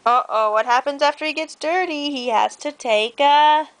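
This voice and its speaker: A woman chatting playfully with a toddler over a picture book, prompting and encouraging in animated child-directed talk. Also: high voice, normal speed. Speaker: mother reading a picture book with her child.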